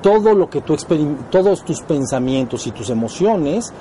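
A man's voice: on the high side, sing-song, normal speed, warm tone, slight background noise, poor audio. A man with a Mexican accent giving an informal spiritual lesson, explaining things and often checking that his students follow. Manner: explanatory, often checks understanding with short questions. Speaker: spiritual teacher.

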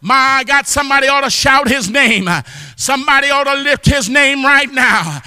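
A man preaching a fervent church sermon, exhorting with repeated exclamations of praise and often rising into shouts. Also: very high voice, moderate pace, studio quality. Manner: impassioned, often breaking into shouts. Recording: long hall reverb.

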